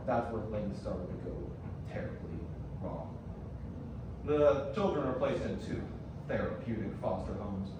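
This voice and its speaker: A man acting a grave, serious witness who recounts a case in measured explanatory stage dialogue. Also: lowish voice, expressive. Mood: grave explaining. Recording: echoey hall from far off, noisy.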